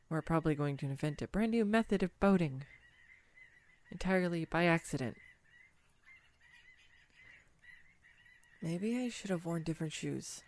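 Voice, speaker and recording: A woman playing a clumsy, nervous young woman on a first date in an audio roleplay, rambling and self-deprecating. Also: fairly low pitch. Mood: self-reassuring, nervous. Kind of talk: intimate audio roleplay monologue.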